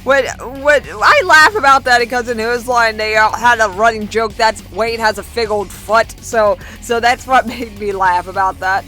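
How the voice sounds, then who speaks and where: A young woman vlogger chatting casually as she reads out and answers viewer comments, in a chatty, excitable manner. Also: on the high side, moderate pace, few pauses, faint music behind.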